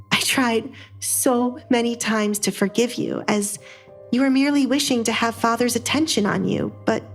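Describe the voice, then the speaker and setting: Female narrator as young noblewoman, cold, defiant, vengeful, deliberate delivery, soft background music. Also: medium pitch.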